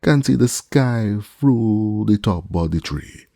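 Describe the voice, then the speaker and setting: A man's voice: lowish voice, lively intonation, slow, creaky, mellow, dry recording, pitched above the performer's own voice, heavily acted. A man voicing an older male servant who is superstitious and stubborn, in drawling dialect speech, read as an audiobook character. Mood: calling down.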